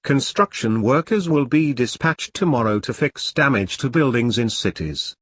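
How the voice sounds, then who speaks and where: A man's voice: medium pitch, animated, normal speed, fairly bright tone, no echo, clean studio sound, recorded from a distance. A man reading a formal scripted emergency broadcast announcement in a stiff, impersonal, official manner.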